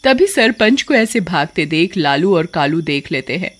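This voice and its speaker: A woman's voice: lowish voice, sing-song, moderate pace, a little hiss or hum. A woman reading scripted story narration as the narrator.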